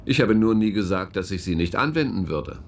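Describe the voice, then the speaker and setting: Very deep, gravelly, cynical official. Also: male voice, lively intonation, slight background noise. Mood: sardonic, cool. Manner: ironic, deliberate, coolly polite. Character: calculating, cynical male government security official. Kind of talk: German radio play acting.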